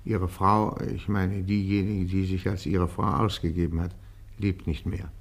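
A man's voice: sits low, flat delivery, a little slow, vocal fry, full character voice. A man playing a courteous but firm police interrogator in a German radio crime play, formal and controlled. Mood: grave, considerate. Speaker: actor in a radio crime drama.

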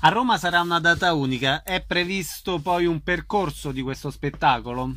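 Bright, higher-pitched male interviewer, close and dry. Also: normal speed, no echo. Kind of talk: interview questions.

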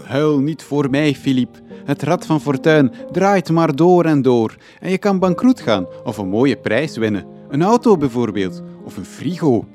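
A man acting as a naive Flemish young hero with a mid-pitched voice. He is pompous and dreamily melodramatic, in comic radio drama style, with music clearly behind him. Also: animated, measured pace, a little mellow, lightly acted. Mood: melodramatic, dreamy. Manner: melodramatic, lyrical declaiming.

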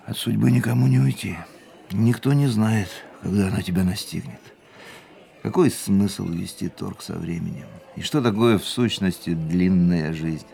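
An actor playing a fatalistic, world-weary racing driver in a Russian radio drama, speaking in a measured, reflective way. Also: male voice, sits low, vocal fry, mellow. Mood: weary, philosophical. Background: music.

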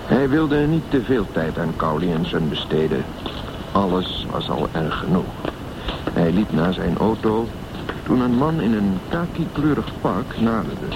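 A man narrating a scripted radio drama story in a measured, literary way. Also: low-pitched, very animated. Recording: dull sound with clear background noise.

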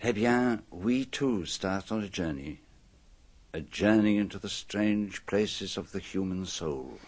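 A man playing a polite, methodical foreign detective in a radio drama, with a French accent and precise, measured oratory. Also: low-pitched, lively intonation, darkish tone, full character voice. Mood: solemn, deliberate.

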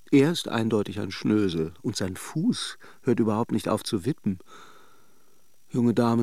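An actor in a radio drama playing a suave, gossipy holiday idler, musing theatrically with ironic courtesy. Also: male voice, medium pitch, vocal fry, a little mellow, no room sound. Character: suave, gossipy male holiday idler watching café guests.

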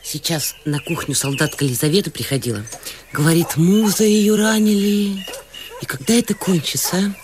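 A woman's voice: fairly low pitch, normal speed, noisy background, natural voice. A woman acting a simple, bustling provincial housewife in a radio play, with a folksy, gossipy way of talking. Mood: chatty, homely.

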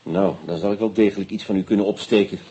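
A dutch detective in a radio play, really rough husky voice, direct and pushy, a bit wry. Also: male voice, fairly low pitch, steady tone, fast, mellow. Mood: probing. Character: blunt, probing male Dutch detective visiting England. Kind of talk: radio drama acting.

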